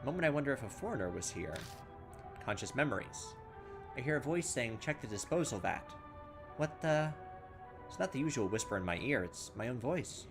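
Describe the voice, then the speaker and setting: A man's voice: mid-range voice, very animated, brisk, over music. A man playing a horror game and reading its text aloud, thinking aloud and making fun of his own mistakes. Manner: self-mocking, thinks aloud, loses his way. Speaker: let's play gamer.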